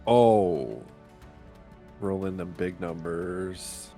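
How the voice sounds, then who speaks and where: A man's voice: deep voice, very slow, dark tone, faint music behind. A man playing a tabletop roleplaying game, chatting casually and reacting in short remarks.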